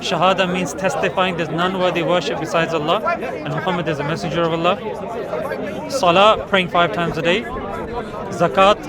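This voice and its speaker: An earnest man explains his views point by point, patient and steady, in a voice that sits a little high for a man. He speaks outdoors in a park, with a loud crowd chattering all around. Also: normal speed. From England. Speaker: street preacher debating visitors. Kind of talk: explanatory debate talk. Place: busy outdoor public park.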